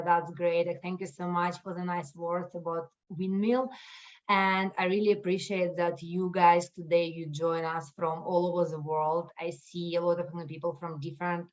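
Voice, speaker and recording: A woman with a Russian accent presenting as a guest over a video call, friendly and joking, laughing often. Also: lowish voice. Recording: dull, lo-fi video call audio.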